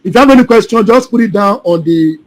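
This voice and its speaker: A man leads a live online class over a video call, his voice sitting fairly high. He repeats himself and keeps checking that his students understand. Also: expressive, fast. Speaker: solar installation trainer.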